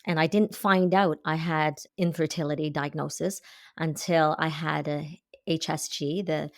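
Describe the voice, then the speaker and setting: Lady in her 50s, low voice, earnest explaining as an interview guest, dry close sound. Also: steady tone, a little slow, no echo. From Canada. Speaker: guest, acupuncturist. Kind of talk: interview answers.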